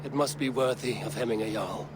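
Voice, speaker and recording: A man voicing a steady Viking warrior and counselor in a video game, speaking in measured, formal phrases. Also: mid-range voice, noisy background, lightly acted. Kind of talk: video game cutscene acting.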